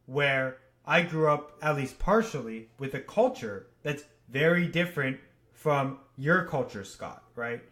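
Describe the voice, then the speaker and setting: A man's voice: mid-range voice, lively intonation, measured pace, a bit bright. A man who grew up partly in Japan, co-hosting a podcast and giving blunt, opinionated views in casual chat. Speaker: podcast co-host. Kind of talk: casual discussion.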